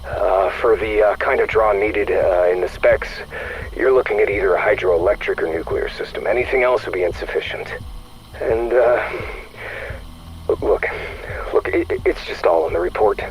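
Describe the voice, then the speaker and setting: A man playing a fed-up, foul-mouthed surveyor dictating a radio report in a game, halting and exasperated. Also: fairly low pitch, expressive, poor audio. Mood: weary exasperation. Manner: halting, exasperated, full of hesitations.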